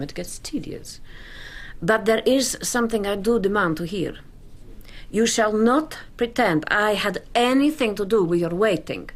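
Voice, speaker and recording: An actress playing a proud, worldly woman in a radio drama, coolly alluring, with a languid, ironic delivery that turns breathy at phrase ends. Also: mid-range voice. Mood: cool, reproachful. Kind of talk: literary radio drama acting.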